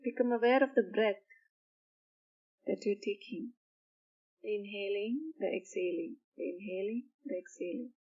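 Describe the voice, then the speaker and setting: A woman guiding a sleep meditation in a soothing, measured manner, pausing between short phrases. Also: medium pitch, darkish tone, dull audio. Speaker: meditation guide. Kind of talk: guided meditation for sleep, slow instruction.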